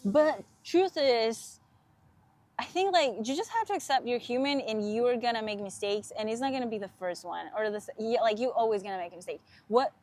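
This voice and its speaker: A woman from Guatemala, a PhD student guest on a podcast, talking frankly and relaxed, with frequent "like". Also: fairly high pitch, fairly quick. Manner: relaxed, frank, uses filler "like" often. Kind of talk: casual interview chat.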